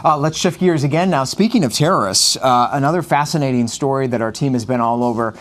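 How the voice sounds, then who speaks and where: A man's voice: mid-range voice, fast, a bit bright. A man anchoring a live news broadcast, chatting with a co-anchor and using frequent "uh" fillers. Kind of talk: live news desk conversation.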